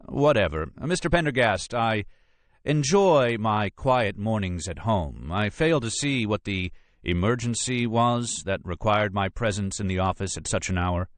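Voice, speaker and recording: Man playing an irritable, self-important male lawyer, forcefully emphatic. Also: lowish voice, animated, unhurried, no echo, voice pushed up. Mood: irritated, dismissive. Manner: emphatic, pompous, impatient. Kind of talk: audiobook character dialogue.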